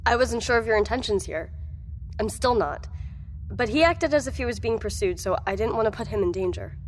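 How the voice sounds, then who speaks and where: Actress as a high-voiced, defiant woman. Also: expressive, a little fast, a little hiss or hum, natural voice. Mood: wary. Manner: pointed, sardonic, challenging. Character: defiant, distrustful woman standing up to authority. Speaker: voice actor in an audio drama. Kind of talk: audio drama acting. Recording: long hall-like reverb.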